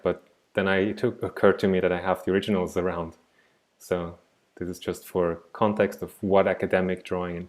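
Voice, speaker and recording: A man in his thirties from Switzerland presents an art class over a video call. His low voice stays soft-spoken and reflective, and he wanders into side thoughts. It comes through a laptop mic. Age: 30s. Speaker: art teacher.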